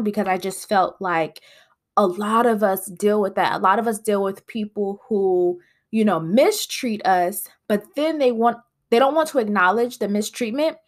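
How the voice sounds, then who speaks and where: Woman, nasal, stuffed-up sinuses, solo podcast host, chatty, lots of fillers. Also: mid-range voice. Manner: chatty, rambling with frequent fillers. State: congested sinuses. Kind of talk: casual solo podcast chat.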